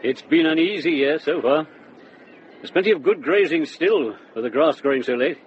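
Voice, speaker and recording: Actor as lighter-voiced, good-natured grumbling monk. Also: male voice, a little high, expressive, moderate pace, natural voice. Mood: easy, informative. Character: good-natured, mock-grumbling monk who tends sheep. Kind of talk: radio drama acting. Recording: dull, noisy radio drama recording.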